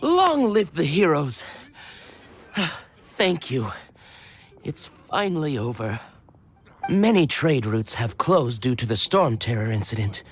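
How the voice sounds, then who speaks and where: A man's voice: fairly high pitch, very animated, slow, a little hiss or hum. An actor voicing a world-weary, melodramatic townsman in a fantasy game, mournful and given to sighing.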